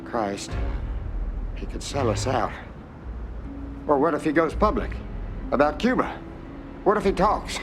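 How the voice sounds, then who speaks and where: A man's voice: fairly high pitch, very animated, measured pace, pauses often, a bit bright, soft background music. A man playing an agitated, worried politician in a game cutscene, blustering and full of questions. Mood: anxious. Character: agitated, worried male senator in mob's pocket. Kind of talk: dramatic game cutscene acting.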